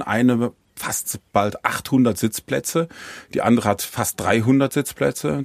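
A man's voice: lowish voice, darkish tone, no echo. A man organizing an event, talking eagerly in long run-on sentences during an interview. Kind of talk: interview conversation. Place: seated at a small table with four people.

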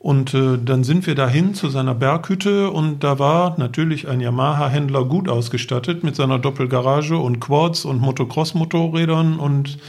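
A man's voice: on the high side, normal speed, vocal fry, few pauses, darkish tone. A middle-aged man casually telling detailed travel stories as a podcast guest, with enthusiasm.

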